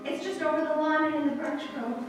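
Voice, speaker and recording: A woman's voice: high-pitched, steady tone, quick pace, lightly acted. A woman playing a tipsy, haughty, romantic young society woman in a live old-time radio play, with theatrical exclamations. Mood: swooning. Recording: roomy live stage, distant mic, music behind.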